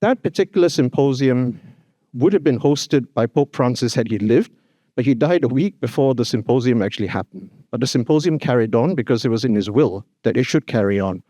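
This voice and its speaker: A man's voice: mid-range voice, animated, a little fast, warm tone, dry recording. A man giving a formal opening address at a conference, speaking earnestly and emphatically, often adding "you know" and "actually". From Singapore. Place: hotel conference hall.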